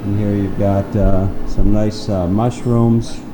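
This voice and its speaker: A man giving an upbeat, chatty walking tour of a hotel, often pausing with "uh" and repeating himself. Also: on the low side, flat delivery, background noise. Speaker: travel vlogger touring a hotel. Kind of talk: casual walking tour narration. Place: hotel breakfast hall and lobby, indoors.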